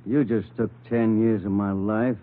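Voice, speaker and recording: A man's voice: on the low side, steady tone, a little slow, music in the background, lightly acted. An actor playing a sour American ex-convict in a radio crime drama, insinuating and falsely friendly over his resentment. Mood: veiled bitterness. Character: sour ex-convict, smooth on top, bitter underneath. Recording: muffled old radio broadcast.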